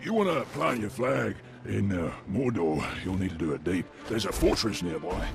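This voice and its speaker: Big dumb troll henchman, low growly voice, crude wisecracks, game cutscene w loud music. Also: very animated, moderate pace. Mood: casual scheming. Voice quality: gravelly. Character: brash, bloodthirsty, dim-witted male troll bodyguard, huge, jokey. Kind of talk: video game cutscene acting.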